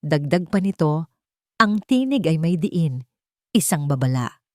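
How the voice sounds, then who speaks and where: A woman narrating a scripted romantic drama in an earnest, sentimental way with dramatic pauses. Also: low-pitched, expressive, mellow, no echo, studio quality. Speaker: narrator of romantic audio stories.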